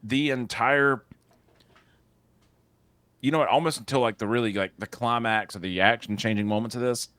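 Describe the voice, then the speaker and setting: A man co-hosting a casual movie podcast, excitable and joking, often cutting in mid-sentence. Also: mid-range voice, moderate pace, pauses often, no echo. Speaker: podcast co-host.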